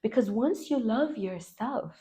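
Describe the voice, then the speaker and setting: A woman in her thirties from Lebanon, raised in the UAE, talking to camera as a self-love coach in an earnest, slow and emphatic way. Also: a little high, animated, warm tone. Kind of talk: spiritual vlog talk to camera.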